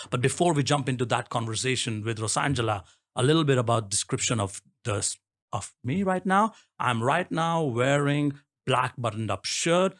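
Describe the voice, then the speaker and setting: Welcoming male podcast host. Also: medium pitch. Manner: friendly, welcoming and talkative. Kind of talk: podcast interview. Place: recording studio.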